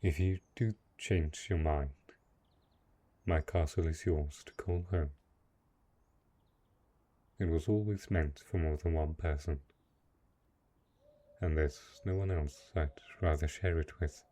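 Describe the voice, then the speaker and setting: Guy voicing a lonely possessive dark knight, deep dark voice, slow deliberate delivery, fantasy audio roleplay. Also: full character voice. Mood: soft, coaxing. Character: brooding, possessive, lonely dark knight, male castle host. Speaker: voice actor. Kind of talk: dramatic roleplay audio monologue.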